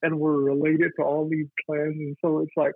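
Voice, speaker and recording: Husky man on a tinny phone line. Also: a little high, completely flat delivery, no echo. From Navajo Nation. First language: Navajo. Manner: halting, with many fillers and restarts. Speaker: guest. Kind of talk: reflective casual interview talk.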